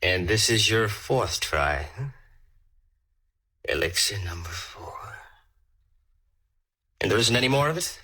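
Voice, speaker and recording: A man's voice: lowish voice, very animated, slow, pauses often, pushed and bright, husky, exaggerated voice. An actor playing a cold, greedy killer who narrates his crime in a menacing 1940s radio drama style. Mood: smug probing. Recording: old radio drama with music underneath.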